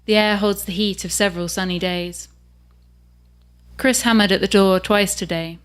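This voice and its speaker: A woman, reading the opening of her own novel aloud in an unhurried way. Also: medium pitch, fairly even intonation, clean tone. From England. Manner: measured and unhurried.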